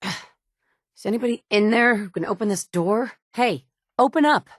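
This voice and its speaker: An actress voicing the player heroine of a wasteland game, delivering short, clipped, matter-of-fact lines. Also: female voice, a little high, a little slow, no room sound, clean studio sound. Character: woman survivor, player hero of a wasteland game. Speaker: player character.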